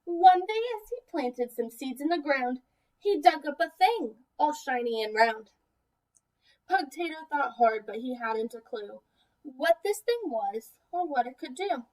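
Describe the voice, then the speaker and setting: A woman teacher doing a children's read-aloud, talking expressively and deliberately and putting questions to her young listeners. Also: high voice, expressive, a little mellow, recorded from a distance, poor audio. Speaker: teacher reading a picture book aloud to children.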